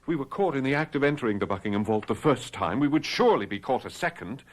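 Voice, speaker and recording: An actor playing a cautious, loyal physician in a radio drama, with an earnest, formal and measured manner. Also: male voice, medium pitch, expressive, close to an everyday voice. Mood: firm, reasoning. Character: cautious, loyal physician, reasoning gentleman friend. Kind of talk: old-time radio drama acting.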